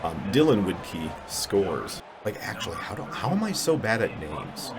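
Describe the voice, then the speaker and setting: A man's voice: on the low side, big pitch swings, measured pace, noisy background. A man giving casual, mumbly, self-deprecating commentary while playing a video game. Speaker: gaming channel host. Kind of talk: casual let's-play commentary.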